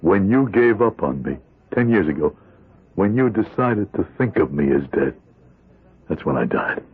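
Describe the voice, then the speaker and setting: An actor playing a weary, pleading older ex-convict in a vintage radio drama, with a husky voice. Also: male voice, deep voice, sing-song, normal speed, full character voice. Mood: bitter, despairing. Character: weary gray-haired ex-convict, broken and pleading. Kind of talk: 1970s radio drama acting. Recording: tinny, band-limited old radio broadcast.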